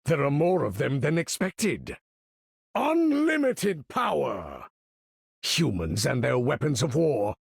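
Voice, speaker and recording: A man's voice: medium pitch, wildly swooping pitch, slow, creaky, slightly rough, dry recording, studio quality, heavily acted. A man voicing an imperious older supervillain in a video game, commanding battle with pompous, theatrical, formal diction. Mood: haughty, commanding, then triumphant, shouting.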